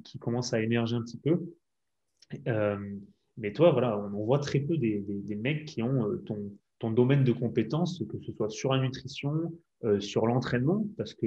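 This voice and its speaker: A man's voice: fairly low pitch, dark tone, dull audio, a little hiss or hum. A man from France hosting a fitness podcast, asking long rambling questions full of fillers. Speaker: podcast host. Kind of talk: casual podcast interview.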